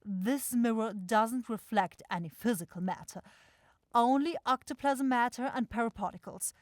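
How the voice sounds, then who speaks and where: A woman's voice: a little high, moderate pace, dry recording, studio quality, close to an everyday voice. A woman playing a self-assured, impatient demon shopkeeper in a fantasy ASMR audio roleplay, with a theatrical, coaxing salesy manner. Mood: fussy, professional. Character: bossy, salesy demon-like soul shop keeper sister, impatient.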